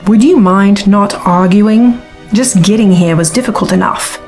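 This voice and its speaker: A woman's voice: medium pitch, measured pace, darkish tone, faint music behind, close to an everyday voice. An actress playing a hard-bitten, sceptical woman reporter and pilot in a science fiction audio drama. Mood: brisk. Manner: matter-of-fact, sceptical.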